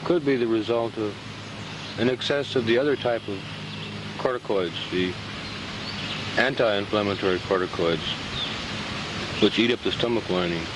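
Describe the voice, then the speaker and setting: A man explaining a subject informally and haltingly, recorded outdoors on 1970s reel-to-reel video. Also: on the low side, noisy background. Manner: halting, with frequent throat clearing. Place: outdoors beside a pond.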